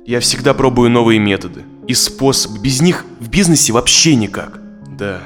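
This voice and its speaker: A man's voice: mid-range voice, lively intonation, moderate pace, natural voice. An actor playing an anxious, self-doubting businessman in an audio drama, speaking reflectively and dramatically as a therapy client. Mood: doubtful, hopeful. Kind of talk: dramatized audio play acting. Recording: music behind the voice.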